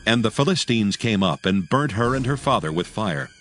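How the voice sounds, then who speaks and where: A man narrating scripture dramatically in archaic English, solemn and measured. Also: fairly low pitch, expressive, fairly bright tone, faint music behind.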